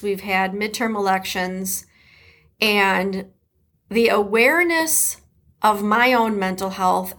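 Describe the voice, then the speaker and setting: A middle-aged woman from Detroit with a Midwest accent, hosting her podcast in a chatty, emphatic way. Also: mid-range voice. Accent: Detroit Midwest American. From Detroit, USA. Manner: warm, chatty, emphatic, with laughs and shout-outs. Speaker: podcast host. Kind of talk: casual solo podcast talk.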